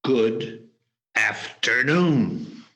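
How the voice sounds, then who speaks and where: A man's voice: mid-range voice, lively intonation, unhurried, pushed and bright, poor audio. A man playing a sour, miserly old businessman who despises Christmas, curt and scornful, in an amateur radio play. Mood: curt, irritated. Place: performed remotely over a video call. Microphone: video call.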